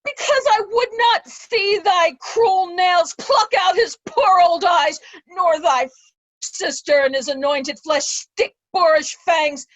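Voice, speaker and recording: An anguished old lord of Shakespearean tragedy, acted by a woman, with a very high voice. The delivery is slow and full of suffering, as from a proud host who has been betrayed. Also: low-quality recording. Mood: defiant. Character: old nobleman Gloucester, tortured, blinded, anguished. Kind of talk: Shakespearean readers' theatre.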